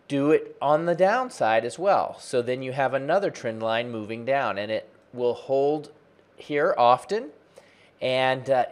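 A man in his forties teaching trading off a chart in a plain explanatory way, speaking close into a desk mic from a small home office. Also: medium pitch. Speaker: trading educator. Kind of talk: screen-share chart tutorial. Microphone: close desk mic, webcam framing.

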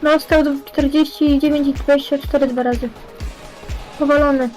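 A young woman chats casually with her squad in a game, giving short, blunt callouts. Her voice is high with little melody, over faint music. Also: little pitch movement, moderate pace, darkish tone, poor audio. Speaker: video game player in a squad. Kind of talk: casual in-game team chat.

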